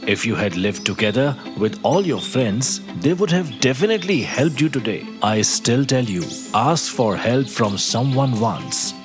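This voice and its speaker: A voice actor playing a wise old talking walnut tree in a cartoon story, calm and lecturing. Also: mid-range voice, unhurried, hardly any pauses, lightly acted. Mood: gentle. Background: music.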